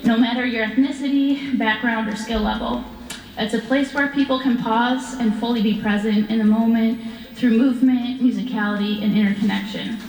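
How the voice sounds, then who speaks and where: A woman delivers a prepared speech in a fairly high voice. The room around her is strongly echoey, with a long hall reverb trailing each phrase. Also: steady tone, normal speed, darkish tone. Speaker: dance studio founder giving a speech.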